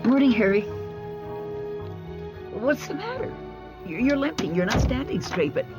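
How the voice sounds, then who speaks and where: An actress playing a brisk, caring, nagging secretary in a radio drama, with a husky voice. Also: female voice, a little high, big pitch swings, over loud music. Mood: concerned. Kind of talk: radio drama acting, conversational.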